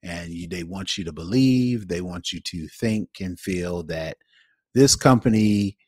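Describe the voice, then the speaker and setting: A man co-hosting a podcast, giving his opinions casually in an emphatic, halting way. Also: low voice, a little mellow, no echo, clean studio sound. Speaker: podcast co-host.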